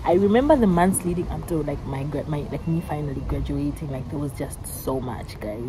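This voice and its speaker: Woman in her twenties, casual vlog chat, rambling, lowish voice, loud traffic behind. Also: expressive, brisk. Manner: rambling, many fillers and restarts. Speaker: vlogger. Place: out and about, then a café.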